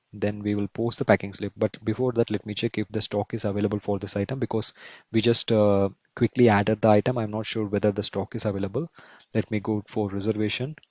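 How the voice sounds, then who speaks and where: A man instructing through a screen-share software tutorial, explaining step by step and often rephrasing and checking with tag questions. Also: lowish voice, no echo.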